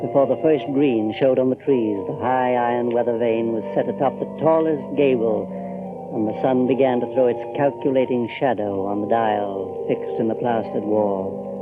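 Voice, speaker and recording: Guy as solemn gothic narrator, midrange voice, loud music behind, old tinny radio sound. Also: mid-range voice, fairly even intonation, moderate pace, lightly acted. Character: solemn, portentous male storyteller of gothic tale. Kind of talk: dramatic scripted narration. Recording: tinny band-limited recording under loud music.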